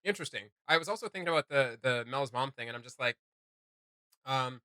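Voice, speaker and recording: A man's voice: medium pitch, animated, brisk, bright, forceful tone, dry recording, studio quality. A man hosting a reaction video, thinking aloud in casual, tentative commentary.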